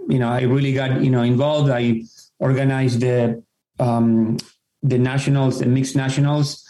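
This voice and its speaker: Mellow man in a roomy echo. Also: mid-range voice, flat delivery, normal speed. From Argentina. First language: Spanish. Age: middle-aged. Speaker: podcast guest, tennis professional. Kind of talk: casual explanatory interview talk. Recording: roomy, echoing sound.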